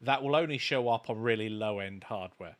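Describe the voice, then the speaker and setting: A man co-hosting a casual live stream, explaining things in a halting way. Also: lowish voice. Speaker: livestream co-host, game development course maker.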